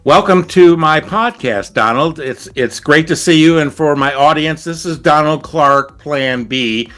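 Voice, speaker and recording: A man's voice: on the high side, measured pace, fairly bright tone, soft background music. A man hosting a podcast, asking eager, earnest questions of his guest. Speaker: podcast host. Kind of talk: conversational podcast interview.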